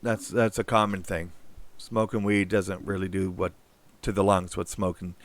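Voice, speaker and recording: A man's voice: sits low, level tone, moderate pace. A man in a casual online group chat, agreeing often with short remarks. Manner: agreeable, frequent short affirmations. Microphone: online call.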